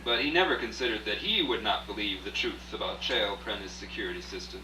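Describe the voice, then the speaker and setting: A man's voice: on the low side, brisk, close to an everyday voice. A man playing a wry writer who narrates his own story in a radio play, confiding in the listener and putting questions to them. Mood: wry, resigned. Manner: confiding, rhetorical, addressing the listener directly. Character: wry out-of-work male writer turned rich storyteller. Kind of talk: live radio play, first-person dramatic narration. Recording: echoey distant live rehearsal, faint hum.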